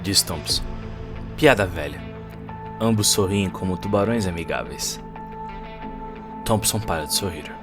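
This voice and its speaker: Low-pitched Brazilian male story narrator. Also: expressive, unhurried, pauses often. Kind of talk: dramatic scripted story narration. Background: faint music.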